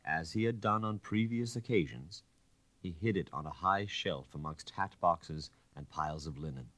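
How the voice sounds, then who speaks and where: A man with a deep voice narrates a classic story. His delivery is measured and dramatic, with careful phrasing, and the sound is dry with very little room around it. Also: fairly bright tone, no room sound. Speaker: audiobook reader.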